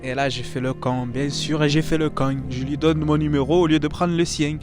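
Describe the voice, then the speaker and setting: Young man, comic voice-over, mock-dramatic, slightly high voice, music under it. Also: steady tone, brisk. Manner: mock-dramatic, self-mocking. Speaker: vlogger narrating his own footage.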